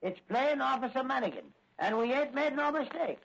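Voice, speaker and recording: A man's voice: extremely high pitch, lively intonation, moderate pace, creaky, fairly bright tone, exaggerated voice. An actor playing a blunt, righteous, accusing policeman in a 1940s radio crime serial. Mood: indignant. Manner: blunt, accusatory, working-class grammar. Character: blunt, indignant male policeman, loud and righteous. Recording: muffled old 1940 radio recording.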